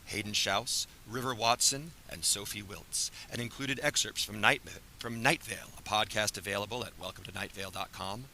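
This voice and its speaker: A man's voice: fairly low pitch, moderate pace, vocal fry, few pauses, pushed and bright. A teenage male announcer reading scripted credits for a radio show. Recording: noisy room ambience.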